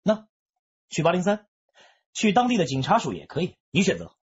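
An actor playing a firm, composed police detective in a radio drama, measured and official, quietly needling. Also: male voice, high voice, expressive, husky, muffled recording, exaggerated voice.

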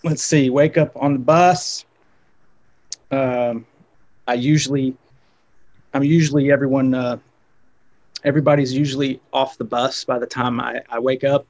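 A man's voice: medium pitch, steady tone, measured pace, long pauses, darkish tone. A man in his thirties, a guest guitarist, talking casually on a video call with many fillers and restarts.

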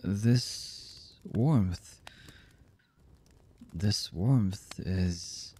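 An actor playing a brooding, distrustful young anime villain in a boyfriend ASMR roleplay, with a husky, breathy voice and halting, hushed delivery. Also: male voice, fairly low pitch, a little hiss or hum. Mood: tender, awkward. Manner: slow, halting, hushed delivery with long pauses.

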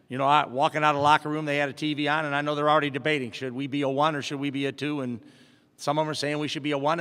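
A man's voice: on the high side, fairly bright tone. A middle-aged man, a basketball head coach, answering postgame questions at a press conference in a plainspoken, upbeat way, with many fillers and restarts. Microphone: table mic in front. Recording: long hall reverb.

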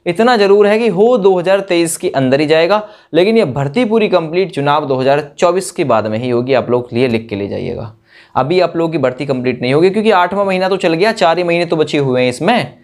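A man's voice: fairly high pitch, lively intonation, a little fast. A young man giving an informal exam news update to camera in an emphatic, colloquial way full of rhetorical questions. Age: young adult. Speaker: exam coaching YouTuber.

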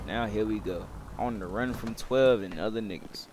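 A man's voice: fairly low pitch, normal speed. A young man giving casual, slangy, profane gameplay commentary, often muttering to himself as he plays. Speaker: gamer recording gameplay video. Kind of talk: casual gaming commentary, roleplay. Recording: loud game engine and street noise. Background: video game car and city sounds.

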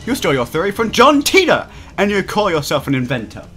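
An indignant, theatrical young mad scientist put on by a man, from a visual novel. The voice is loud and fairly high, swinging dramatically as it accuses, over music. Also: huge pitch swings, fast, husky, exaggerated voice. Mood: outraged.

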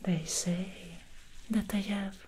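A woman's voice: medium pitch, fairly even intonation, background noise, pitched above the performer's own voice, natural voice. A woman whispering as an alluring demon stylist. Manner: slow, teasing and alluring. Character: seductive female demon hair stylist from hell. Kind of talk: ASMR roleplay. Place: indoor studio set. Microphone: close ASMR microphone.

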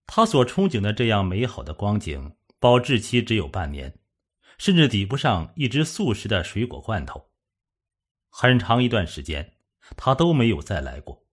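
Husky low male audiobook narrator. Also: big pitch swings, moderate pace. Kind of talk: scripted suspense novel narration.

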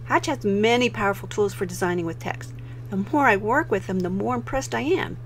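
A woman with an American accent presents a software tutorial as a voice-over, explaining clearly step by step. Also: medium pitch, brisk, slight background noise. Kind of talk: instructional screen-recording narration.

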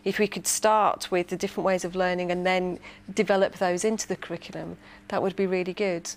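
A woman's voice: on the low side, fairly even intonation, fairly quick, a little hiss or hum. A woman, speaking reflectively as a teacher in a panel discussion.

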